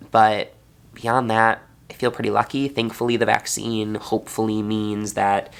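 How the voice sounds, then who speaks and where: A man's voice: on the low side, fairly bright tone. A young man, a fourth grade teacher, talking earnestly in a personal vlog, sounding congested. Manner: earnest, heartfelt.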